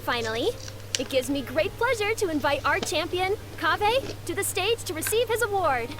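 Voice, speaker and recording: A woman's voice: very high-pitched, expressive, normal speed, background noise. An actress playing a gracious female ceremony announcer in a video game, formal, polite and poised. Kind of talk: scripted video game voice acting.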